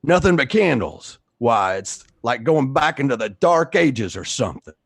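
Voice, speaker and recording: A man's voice: medium pitch, sing-song, moderate pace, vocal fry, fairly bright tone, breathy edge, no echo, studio quality, voice pushed up, heavily acted. A man playing a suspicious, accusing neighbor in a radio play, talking in a folksy, bullying way.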